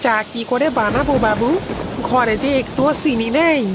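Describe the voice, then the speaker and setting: A woman voicing a fretful, grumbling village manservant in a cartoon, with a creaky, whining, rustic delivery. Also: on the high side, natural voice. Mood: reluctant. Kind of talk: Bengali cartoon voice acting. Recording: loud rushing rain-like ambient noise.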